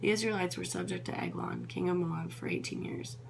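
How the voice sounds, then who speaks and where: Gravelly-voiced woman reading scripture. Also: fairly low pitch, fairly quick. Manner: stumbles over names and corrects herself. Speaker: Bible reader. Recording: noisy with a steady hum.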